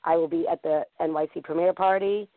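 Hoarse raspy-voiced woman on a phone line. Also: fairly quick, no room sound. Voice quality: deep raspy voice. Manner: rambling, excitable, talks to the chat room. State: hoarse from a concert. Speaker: podcast host. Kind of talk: casual live internet radio chat. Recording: tinny phone-line audio.

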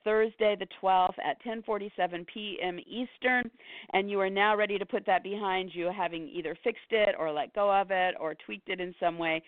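A woman astrologer runs through the week's signs in a hoarse voice, fading as she goes. She strings long lists together with ums and brief laughs. The sound is dry and thin, like a phone line. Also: medium pitch, fairly even intonation, no room sound. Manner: rapid listing, with fillers and short laughs. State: hoarse, losing her voice. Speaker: astrologer host. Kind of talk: weekly horoscope talk.

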